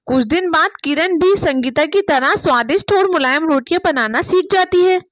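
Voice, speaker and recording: A woman's voice: extremely high pitch, lively intonation, moderate pace, fairly bright tone, no room sound. A woman storyteller reading scripted narration of a moral family tale.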